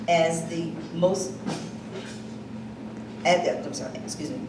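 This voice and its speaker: A woman giving a loud, projecting speech about an honoree at a community reception, at times off script. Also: medium pitch. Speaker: speaker presenting an honoree. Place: hall at a museum reception. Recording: echoey hall recorded from far off with noise.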